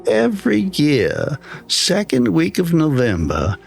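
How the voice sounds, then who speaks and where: A man's voice: fairly high pitch, wildly swooping pitch, slow pace, creaky, music in the background, voice pushed up, exaggerated voice. A man playing a plain-spoken, wistful old engineer. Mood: uneasy, reminiscing. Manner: clipped, plain-spoken sentences. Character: plain-spoken eighty-one-year-old retired broadcast engineer, wistful, loyal.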